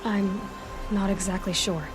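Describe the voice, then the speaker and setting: Young huntress, female actor, medium pitch, direct, guarded, no-nonsense game heroine over music. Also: steady tone, a little slow, natural voice. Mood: serious. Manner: direct, plain-spoken. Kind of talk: game cutscene acting.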